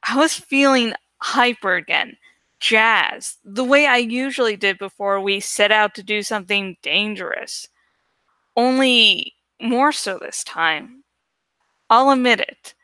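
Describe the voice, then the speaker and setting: A woman with a crisp, bright voice reads a story aloud as a livestream host. Her pitch sits on the high side, and her delivery is expressive and unhurried. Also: dry recording. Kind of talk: dramatic audiobook-style reading with casual asides.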